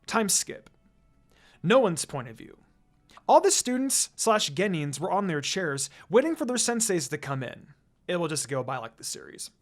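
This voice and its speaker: A fan-fiction reader narrating a first-person story in a dramatic, expressive way. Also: medium pitch, normal speed, faint music behind.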